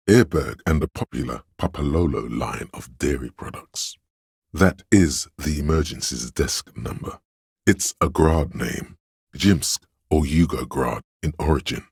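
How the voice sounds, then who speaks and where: An actor voicing an erudite, worldly inner voice of knowledge in a video game, narrating facts in a measured, dry, scholarly way. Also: male voice, a bass voice, vocal fry, breathy edge, no room sound, full character voice.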